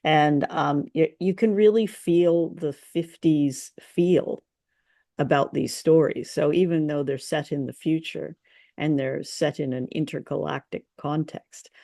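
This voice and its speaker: A woman's voice: low voice, steady tone, measured pace, vocal fry, mellow, dry recording, clean studio sound. A woman co-hosting a radio show, chatting informally and enthusiastically about stories. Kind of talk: casual chat about stories.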